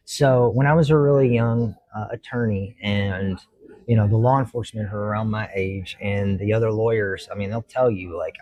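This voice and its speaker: A man's voice: fairly low pitch, a little mellow, no echo, rough recording. A man, a judge answering interview questions in an earnest, reflective way and explaining at length. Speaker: judge, talk show guest.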